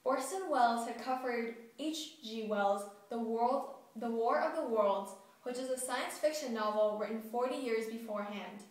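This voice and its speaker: A woman narrating a scripted newscast. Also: a little high. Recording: very echoey, distant mic.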